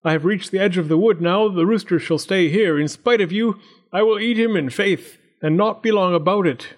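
A lordly storybook rooster, puffed up with pride, who declaims every line, as a man reading aloud puts him on. His voice is pitched well above the reader's natural speaking voice. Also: normal speed, natural voice. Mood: boastful. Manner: proud, declaiming. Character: proud boastful male rooster, lordly.

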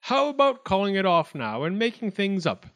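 Guy doing a self important wildcat judge from a kids story, high pitched, fussy n blustery, kinda nervous. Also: very animated, normal speed, fairly bright tone, no room sound. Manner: pompous, formal, emphatic.

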